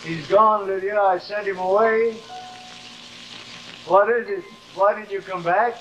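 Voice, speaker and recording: Haunted, slow-speaking stage actor. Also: male voice, sits high, steady tone, a bit bright, dull audio, soft background music. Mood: frightened, desperate. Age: middle-aged. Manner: theatrical, halting, pleading. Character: haunted, guilt-ridden middle-aged actor, proud and desperate. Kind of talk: 1950s live television drama acting. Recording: noisy old 1950s television soundtrack.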